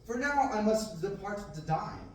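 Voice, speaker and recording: Eager young farm boy read by a young man, earnest and exclamatory, high-ish voice recorded from across an echoey classroom. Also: very animated, unhurried. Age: young adult. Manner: earnest reading with bursts of theatrical exclamation. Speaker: table-read actor. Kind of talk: amateur Shakespearean-verse table reading. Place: large classroom indoors. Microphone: far camera. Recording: very echoey room, far-off mic, faint noise.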